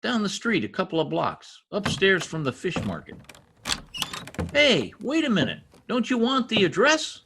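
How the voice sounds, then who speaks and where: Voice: medium pitch, sing-song, normal speed, noisy background. A performer in a 1940s-style radio comedy-mystery voicing a shady, wisecracking informant with slangy, ungrammatical talk. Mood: businesslike, cagey. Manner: streetwise slangy talk with bad grammar. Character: shady, wisecracking lowlife gangland informant nicknamed Piggy. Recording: husky-sounding home recording over video call.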